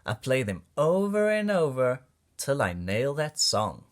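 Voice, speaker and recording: Animated man reading a children's storybook. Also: medium pitch, measured pace. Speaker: children's book reader. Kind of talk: storybook read-aloud for kids.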